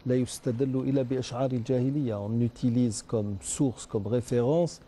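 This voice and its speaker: Very dark-voiced male TV host. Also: medium pitch, steady tone, normal speed, slight background noise. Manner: chiming in with follow-up remarks. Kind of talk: studio discussion.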